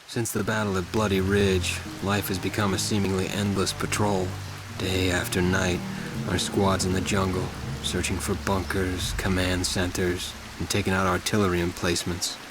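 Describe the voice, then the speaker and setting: A man's voice: low voice, flat delivery, moderate pace, noisy background, close to an everyday voice. An actor playing a war-weary young American Marine, narrating his campaign in a subdued, plainspoken, reflective way. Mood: weary, somber. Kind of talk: scripted first-person narration.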